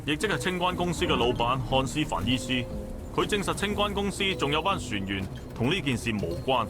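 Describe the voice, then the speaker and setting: A man's voice: fairly high pitch, animated, fairly quick, close to an everyday voice. An actor playing a brusque male police chief in a game, curt and commanding. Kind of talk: Cantonese game voice acting. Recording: game audio with music behind.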